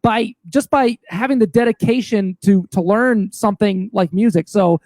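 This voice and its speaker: A man's voice: sits high, moderate pace, darkish tone, no echo. A man co-hosting a casual chat show, talking in an enthusiastic, gushing and slangy way. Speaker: show host.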